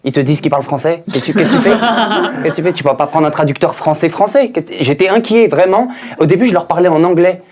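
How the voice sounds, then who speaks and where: A man's voice: fairly high pitch, lively intonation, quick pace, a little mellow. French guy doing stand-up on a tv show, jokey chatty delivery, crowd laughing behind. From France. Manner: jokey, conversational, teasing the audience. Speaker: stand-up comedian. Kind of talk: stand-up routine on a TV talent show. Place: TV studio stage with live audience. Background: audience laughter.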